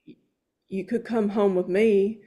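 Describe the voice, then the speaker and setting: A woman reading the part of a simple, earnest, tender-hearted man at a table read, with a halting, stammering delivery. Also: medium pitch, fairly even intonation, dark tone, natural voice. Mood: worried, caring. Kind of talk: informal screenplay table read.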